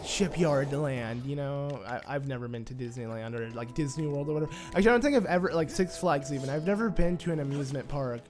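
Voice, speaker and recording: A man hosting a let's play, talking casually over gameplay in a stuffy, nasal voice and rambling excitedly with lots of fillers. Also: medium pitch, quick pace, few pauses, over music. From United States.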